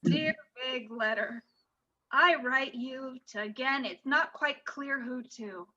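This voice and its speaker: Very high, bright woman performing improv. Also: slow pace, muffled recording. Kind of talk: improvised comedy scenes, acted.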